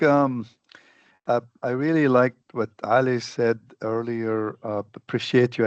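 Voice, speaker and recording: A man speaking on a webinar panel, talking haltingly with many fillers and restarts. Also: fairly low pitch, no room sound, lo-fi sound. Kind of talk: unscripted remarks on a webinar. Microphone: computer mic on a video call.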